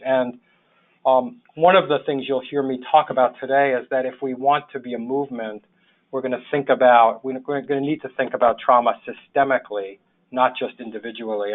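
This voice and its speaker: A sixty-year-old man with a New York accent giving an informal slide presentation in a halting, reflective way as a webinar presenter. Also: medium pitch. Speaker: webinar presenter, public health social worker. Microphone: phone or webinar call. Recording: thin phone-line webinar audio.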